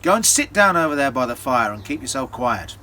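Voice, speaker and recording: A man voicing a wary, gruff innkeeper in a medieval folktale, suspicious and emphatic. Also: mid-range voice, very animated, fairly quick, pushed and bright, a little hiss or hum. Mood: grudging. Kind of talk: storybook character voice in oral storytelling.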